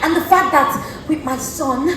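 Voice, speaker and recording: Echoey, high-voiced actress as a proud wronged queen. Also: a little slow, fairly bright tone, distant mic. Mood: aggrieved, defiant. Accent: Nigerian. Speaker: student stage actor. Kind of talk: stage play acting. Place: large indoor theatre stage. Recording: echoey theatre stage from afar, faint noise.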